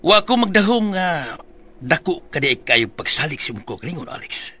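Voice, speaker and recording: A man voicing a domineering, arrogant male office manager who barks orders loudly in a radio drama. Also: fairly high pitch, sing-song, moderate pace. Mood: cold, commanding. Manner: loud, dismissive, commanding. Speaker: boss.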